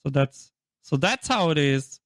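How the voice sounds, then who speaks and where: A man's voice: fairly high pitch, lively intonation, a little slow, fairly bright tone, no room sound, studio quality. A man interviewing a tournament winner in a casual chat, with a teasing, jokey manner. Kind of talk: casual video-call interview.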